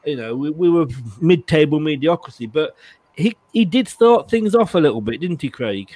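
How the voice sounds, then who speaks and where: A man's voice: on the high side, lively intonation, moderate pace, a little mellow, no room sound. Chatty man hosting, dry sound. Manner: chatty, laughs easily, many restarts. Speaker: host. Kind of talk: casual chat.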